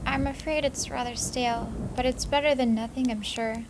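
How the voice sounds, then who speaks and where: A woman's voice: a little high, fairly even intonation, moderate pace, background noise, voice pushed up, close to an everyday voice. A woman voicing a timid but brave little girl from a storybook, speaking politely and earnestly. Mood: meek.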